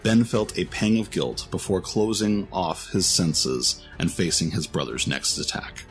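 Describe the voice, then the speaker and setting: Very low-voiced man narrating fantasy over music. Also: animated, unhurried, rough recording. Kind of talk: scripted fantasy story narration.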